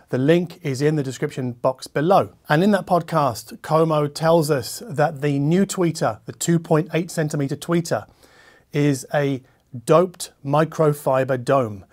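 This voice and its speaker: A man in his forties with a slightly high voice, presenting a product review as the host. He talks casually and conversationally, leaning on key words and dropping in asides as he goes. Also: darkish tone. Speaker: host, audio equipment reviewer. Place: furnished living-room listening room, indoors.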